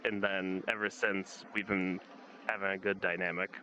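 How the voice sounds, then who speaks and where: A man in a casual online chat, speaking in halting, low-key phrases as he shares personal stories. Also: flat delivery, noisy background. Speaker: host.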